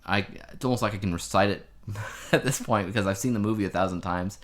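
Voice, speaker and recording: A man with a low-pitched voice talks casually as a podcast co-host. He lays out his opinions and explains them in an everyday, conversational style. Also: fast talker, fairly bright tone. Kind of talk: casual chat.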